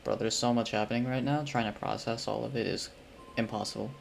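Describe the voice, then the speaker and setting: A man giving casual live reaction commentary on a game, informal and thinking out loud in questions. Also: medium pitch, steady tone, fast, gravelly, a little hiss or hum.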